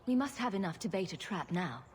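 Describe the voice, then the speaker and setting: An actress playing a witty, sarcastic rogue adventurer in a fantasy game, with a dry, wry delivery. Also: female voice, medium pitch, sing-song, fairly quick, slight background noise, lightly acted.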